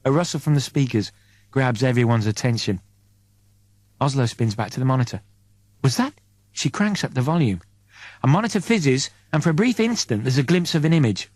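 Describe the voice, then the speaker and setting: A man reading an audiobook as a dramatic, suspenseful narrator in deliberate short phrases. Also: mid-range voice, expressive, darkish tone, no echo.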